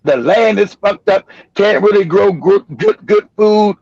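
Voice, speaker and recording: A man hosting a livestream, talking in an animated, emphatic way, swearing and repeating himself. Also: sits high, unhurried, no room sound. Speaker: livestream host. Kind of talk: heated livestream commentary. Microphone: streaming mic. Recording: dry, dull lo-fi stream audio.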